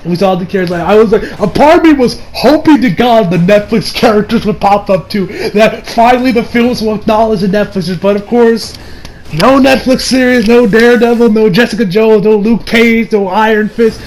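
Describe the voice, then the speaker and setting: A young man giving a casual, unscripted movie review in an excitable, gushing manner with plenty of swearing. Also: high-pitched, fairly quick, a little hiss or hum, poor audio.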